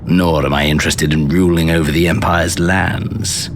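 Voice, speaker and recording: An actor playing a cold, arrogant prince and bloodthirsty hunter in a video game, speaking in a haughty, archaic way. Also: male voice, very deep voice, level tone, background noise. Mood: cold disdain. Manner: haughty, archaic, deliberate speech. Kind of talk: theatrical video game cutscene acting. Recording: loud music behind the voice. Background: loud cutscene music.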